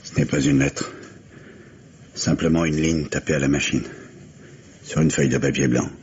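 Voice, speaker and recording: A worried, anguished father with a deep voice and halting, dramatic phrasing. It is old French radio mystery drama, heard through a muffled recording with faint noise. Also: steady tone. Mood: tense, puzzled. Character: anguished father named Griffin, fearful for his daughter.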